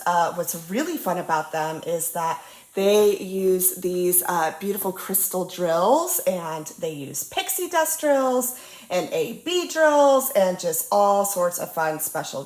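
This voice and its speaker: A young woman with a cold-stuffed, slightly nasal voice chats cheerfully, full of ums and uhs. She sounds relaxed and friendly at a crafting table. Her voice bounces off a roomy space. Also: lowish voice, slow pace. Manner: bubbly, chatty, lots of ums and uhs. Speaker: diamond painting channel host. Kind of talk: casual crafting chat. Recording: roomy echo.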